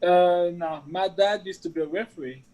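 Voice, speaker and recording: Polite French-accented soccer coach. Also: male voice, sits high, fairly even intonation, moderate pace, darkish tone, highs cut off, soft background music. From France. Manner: short, polite answers. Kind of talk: interview answers.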